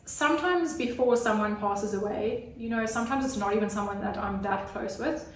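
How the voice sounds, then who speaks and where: A woman speaks earnestly, pausing often on fillers. Her voice sits at an ordinary pitch for a woman and sounds a little distant from the mic. The room is very echoey. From Zimbabwe. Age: 30s. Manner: earnest, hesitant, many fillers. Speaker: guest. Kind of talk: personal story in an interview.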